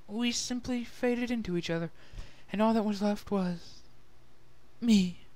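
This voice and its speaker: A man voicing a refined young female pony who tells of a sorrowful past in a soft, measured, earnest way, in a dramatic story reading. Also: high-pitched, dry recording, pitched above the performer's own voice. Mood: sad, reflective. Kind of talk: dramatic fan-fiction reading.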